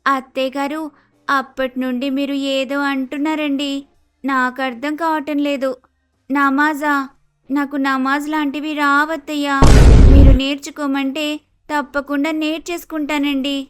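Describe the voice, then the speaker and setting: Woman playing a high-voiced, naive Andhra young daughter-in-law. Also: flat delivery, normal speed, faint music behind, close to an everyday voice. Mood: polite, innocent. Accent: Andhra Telugu. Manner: deferential, hesitant, innocent. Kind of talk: Telugu moral story cartoon dialogue.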